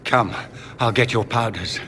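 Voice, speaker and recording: An actor playing a courteous, commanding middle-aged magister in a fantasy video game, speaking in a formal, polished, unctuous manner. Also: male voice, mid-range voice, unhurried, bright, forceful tone, long reverb tail, a little hiss or hum. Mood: courteous, controlled. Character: smooth, courteous Tevinter magister, commanding older father. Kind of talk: theatrical video game acting.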